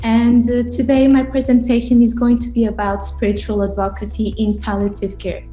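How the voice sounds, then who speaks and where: A woman with a Portuguese accent presents like a university lecturer. Her voice sits a little high but has a soft, mellow tone. She moves haltingly, repeating words as she goes, and the sound is noticeably roomy. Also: little pitch movement, faint music behind. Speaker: university professor giving a conference presentation. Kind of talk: academic lecture.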